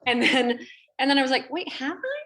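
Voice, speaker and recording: A woman chatting casually as a panel guest, telling things in an expressive, animated way. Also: sits high, brisk, rough recording.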